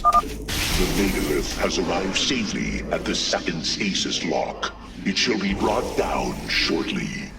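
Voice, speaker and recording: Deep, gravelly alien warrior elder. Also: male voice, huge pitch swings, slow, heavily acted. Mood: solemn. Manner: solemn, formal, archaic phrasing. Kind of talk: dramatic video game character acting. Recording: slightly distant voice under loud music.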